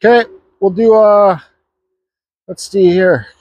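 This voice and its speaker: A man's voice: high voice, steady tone, slow, darkish tone, no room sound, muffled recording. A middle-aged man giving an offhand, chatty chainsaw carving lesson in an outdoor yard, recorded on a nearby camera mic. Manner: offhand, chatty, talking while working. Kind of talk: casual how-to demonstration.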